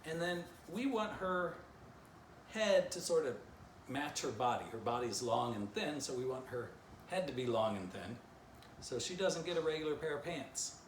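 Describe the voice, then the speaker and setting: A man's voice: fairly high pitch, lively intonation, normal speed. Middle-aged man, echoey children's storyteller. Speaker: children's author reading and teaching drawing. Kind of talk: storybook narration and informal drawing lesson. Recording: roomy echo, clear background noise.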